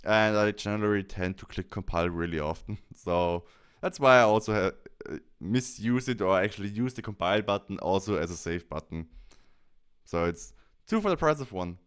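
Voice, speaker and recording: A man presenting a software tutorial in a casual way, explaining things step by step. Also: on the low side, normal speed, clear, resonant tone.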